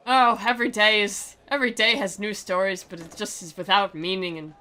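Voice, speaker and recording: Bright-voiced woman, sarcastic game commentary. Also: mid-range voice, fairly even intonation, fairly quick. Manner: mocking, theatrical, often breaking into giggles. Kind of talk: sarcastic playthrough commentary with dramatic reading.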